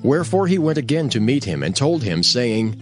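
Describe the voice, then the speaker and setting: A man narrating dramatized scripture as a measured, solemn storyteller. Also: mid-range voice, sing-song, soft background music.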